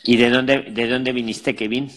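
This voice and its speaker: A man's voice: medium pitch, level tone, measured pace, a bit bright. A man with an accent from Spain hosting a casual live conversation, friendly and reassuring, often repeating his phrases. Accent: Spanish from Spain. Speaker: host of a live advice stream with chat.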